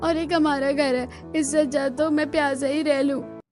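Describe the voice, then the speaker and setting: A woman voicing a whiny, excitable poor village child in a cartoon, expressive and pleading. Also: sits high, moderate pace, close to an everyday voice. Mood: sulky. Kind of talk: Hindi cartoon voice acting. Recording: music under the voice.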